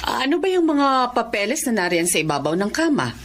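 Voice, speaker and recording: A woman's voice: a little high, sing-song, a little fast, background noise. A woman with a Filipino accent playing a concerned, questioning confidante in a radio drama. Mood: probing.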